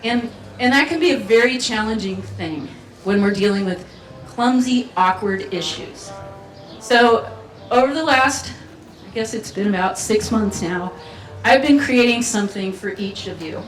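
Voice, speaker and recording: A woman's voice: fairly high pitch, a little slow, faint music behind. Playful woman speaking in a roomy hall. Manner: playful and chatty, with laughs and audience participation. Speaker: festival speaker. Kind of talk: keynote talk to a live audience. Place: community event venue. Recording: roomy hall sound from far off, faint ambience.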